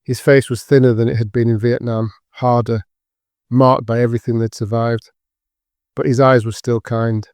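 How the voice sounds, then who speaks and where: A man narrates serious fiction in a mellow, dark voice with little rise and fall. His delivery is sombre and measured, and the recording is dry and close. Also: medium pitch, level tone, clean tone, no echo. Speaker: audiobook narrator. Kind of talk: scripted dramatic fiction narration.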